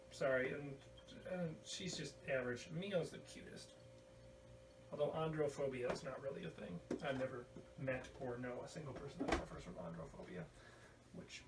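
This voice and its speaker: A man hosting a casual video podcast, rambling through a show-and-tell with an irreverent, crude sense of humor. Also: mid-range voice. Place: indoor room at home. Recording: roomy, distant mic, faint background noise.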